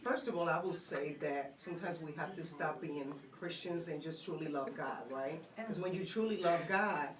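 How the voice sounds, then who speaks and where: A woman's voice: sits low, moderate pace, few pauses, crowd noise. A woman from Puerto Rico with a Puerto Rican accent, speaking earnestly and encouragingly as a guest on a radio talk show, often checking in with "right?". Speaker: guest, network co-founder. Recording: roomy and distant from the mic.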